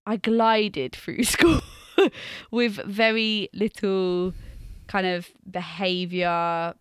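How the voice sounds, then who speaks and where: A woman's voice: mid-range voice, fairly even intonation, drawn-out words, smooth, dry recording, studio quality. A woman in her thirties from Wales, co-hosting a podcast in a chatty, self-mocking storytelling way and laughing often. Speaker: podcast co-host, teacher. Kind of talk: casual chat.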